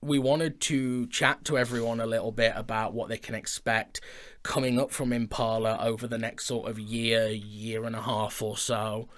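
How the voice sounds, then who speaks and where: Man, medium pitch, podcast co-host, casual studio chat, informal, talkative, self-deprecating. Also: flat delivery, moderate pace, fairly bright tone. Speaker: podcast co-host and audio drama maker. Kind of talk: casual behind-the-scenes chat. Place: recording studio.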